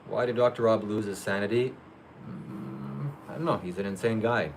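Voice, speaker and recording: A man hosting a casual live Q&A, reading viewer questions aloud and answering them briefly in a matter-of-fact, low-key way. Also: moderate pace, creaky, a little hiss or hum. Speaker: livestream host.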